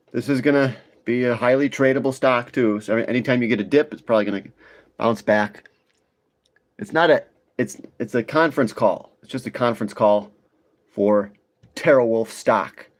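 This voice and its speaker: A man talks casually to his livestream viewers about markets. His voice sits at an average pitch, and his delivery is informal and halting, as if thinking aloud. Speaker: livestream host, retail stock commentator. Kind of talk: casual live market commentary.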